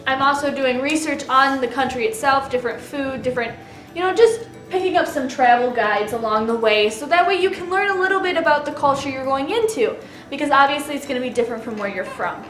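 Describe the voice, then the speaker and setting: A woman's voice: high-pitched, a little fast, a bit bright, echoey room, soft background music. A woman co-hosting a casual advice show, talking in a chatty way with plenty of fillers. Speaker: co-host.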